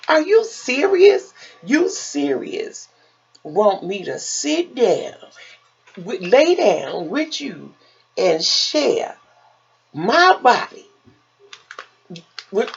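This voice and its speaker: Woman storyteller, raspy and wildly animated. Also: mid-range voice, muffled recording. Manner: emphatic, theatrical, quoting conversations with rhetorical questions. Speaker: vlogger telling personal stories.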